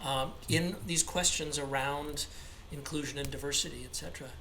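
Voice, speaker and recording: A man's voice: on the high side, steady tone, normal speed, vocal fry, sharp tone, slight background noise. A man interviewing a guest over a video call, asking long, rambling questions with eager interjections and restarts. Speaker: interviewer, conductor.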